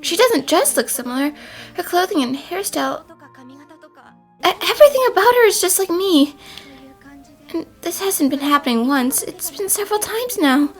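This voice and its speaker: A woman voicing a gentle, troubled young female student, polite and hesitant, in a cartoon dub. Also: very high voice, lively intonation, normal speed, long pauses, faint music behind. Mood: uneasy. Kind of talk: animated series fan dub.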